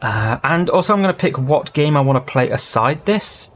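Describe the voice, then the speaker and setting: A man's voice: medium pitch, animated, moderate pace, fairly bright tone, slight background noise. A man hosting his gaming channel, rambling and thinking aloud in casual gameplay commentary.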